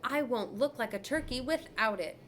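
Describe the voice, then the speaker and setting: A woman's voice: animated, normal speed, a bit bright, a little hiss or hum. A woman voicing a young boy who whines and cries in a storybook reading. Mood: upset. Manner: high whining and crying. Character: upset young boy turkey, whiny, excitable.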